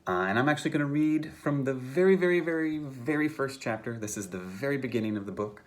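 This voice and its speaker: A man, an author, reading his novel aloud in a conversational, halting first-person style with theatrical emphasis. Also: medium pitch, expressive.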